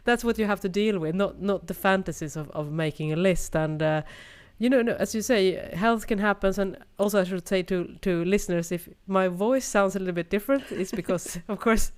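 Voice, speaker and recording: A woman's voice: medium pitch, a little fast, few pauses, clear, resonant tone. A woman co-hosting a podcast in reflective casual chat, speaking with a cold. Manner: reflective, thoughtful, many "you know"s. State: has a cold. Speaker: podcast co-host.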